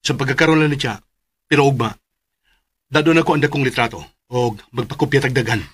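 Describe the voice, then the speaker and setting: A man's voice: mid-range voice, a little fast, fairly bright tone, breathy edge. A male actor playing a father searching for his wife in a Philippine radio drama, with a Cebuano Filipino accent. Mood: reassuring.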